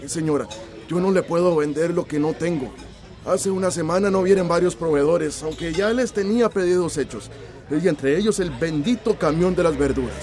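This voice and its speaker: A man's voice: on the high side, normal speed, darkish tone, faint music behind, lightly acted. A man playing an apologetic, beleaguered grocer in a radio soap. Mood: apologetic, weary.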